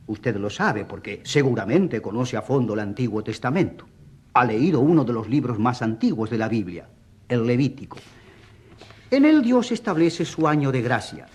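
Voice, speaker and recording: An eloquent defence lawyer in a courtroom radio drama. His mid-pitched voice swings widely as he argues with emphatic rhetoric and ironic politeness. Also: a little mellow, slightly rough. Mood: sardonic, triumphant.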